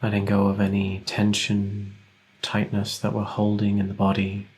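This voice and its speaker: A man guides a meditation in a fairly low voice. His intonation stays very flat and steady. He gives gentle, unhurried instructions and leaves long pauses between phrases. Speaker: meditation teacher.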